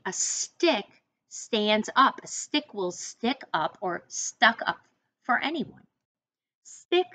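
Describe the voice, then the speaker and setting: A middle-aged American woman reading a picture book to young children as their teacher, expressively, with deliberate instructing asides. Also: medium pitch, fairly bright tone. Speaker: first-grade teacher. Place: small room indoors, seated at a desk.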